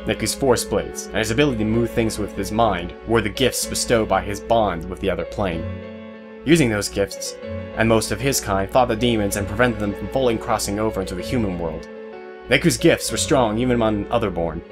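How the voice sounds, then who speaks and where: A man's voice: on the low side, brisk. Male story narrator over guitar music.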